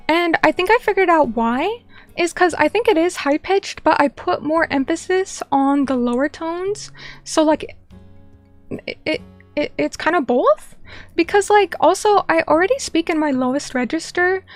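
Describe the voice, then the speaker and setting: A young woman streamer chatting casually and reading viewer comments, bubbly and chatty, greeting people by name. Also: very high-pitched.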